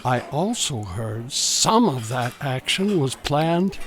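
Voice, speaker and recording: A man's voice: medium pitch, very animated, slow pace, fairly bright tone, a little hiss or hum. A man playing a cheeky, scruffy street informant in a radio drama, slangy and over-familiar as he drawls. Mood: cheeky, wheedling. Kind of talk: contemporary radio drama acting.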